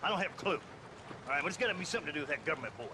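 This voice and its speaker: An actor playing a distraught, angry older rancher with an agitated American voice in a Western game. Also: male voice, fairly high pitch, lively intonation, rapid-fire, lightly acted. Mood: frantic, accusing. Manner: agitated, accusing. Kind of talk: video game cutscene acting. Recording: clear outdoor background noise.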